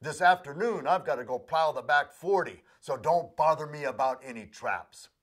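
A man voicing a grumpy, overworked male farm horse in a folk tale, gruff and drawling, full of his own importance. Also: a little high, lively intonation, normal speed. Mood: grumbling.

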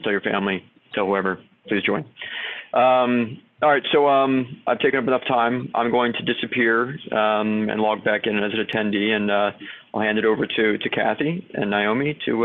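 A man in his thirties hosting an online company session from his office, speaking haltingly with many fillers. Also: lowish voice, steady tone. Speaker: host. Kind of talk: meeting introduction. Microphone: video call. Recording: tinny phone-like call audio.

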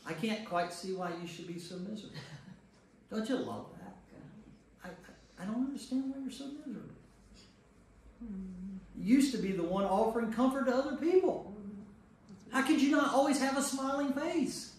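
A man's voice: very high-pitched, moderate pace, pauses often. A man speaking as a pastor leading an informal Bible study, conversational, repeating phrases for emphasis and checking in with listeners. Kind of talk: informal group teaching with questions to listeners. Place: echoey room with a small group. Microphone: distant room microphone. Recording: distant mic in echoey room, noise.

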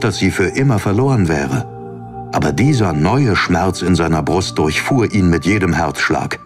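A man reading a fantasy story as a scripted, dramatic audiobook narration. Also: low-pitched. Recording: narration over background music.

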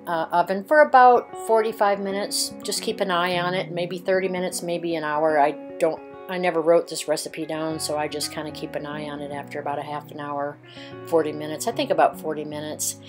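A middle-aged woman chatting casually in a van vlog, rambling with fillers and soft laughs as she walks through a recipe. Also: low voice, fairly even intonation, fairly quick, soft background music. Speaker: vlogger. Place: inside a parked passenger van.

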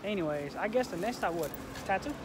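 A young American man with a notably high voice talks in loose, slangy vlog style. He is recorded on a handheld phone with loud everyday background noise all around him. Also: expressive, brisk. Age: 20s. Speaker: vlogger. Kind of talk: casual vlog chat, slangy. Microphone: phone at arm's length. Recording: noisy outdoor, car and store ambience.

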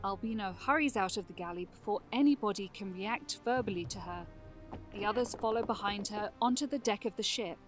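A woman's voice: mid-range voice, a little fast, faint music behind. A woman reading scripted narration for a fantasy audio drama.

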